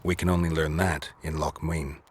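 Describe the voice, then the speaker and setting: A man acting a stoic, battle-worn monster hunter in a video game, terse and deadpan in delivery. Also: a bass voice, moderate pace, husky. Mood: grim, measured. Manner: deadpan, terse, matter-of-fact.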